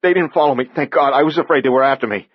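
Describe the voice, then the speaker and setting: Man doing a scared young repairman, twenties, stammering, shaky, raised pitch, slightly dull sound. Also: sing-song, measured pace, breathy edge, no room sound, heavily acted. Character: terrified young male repairman in his twenties.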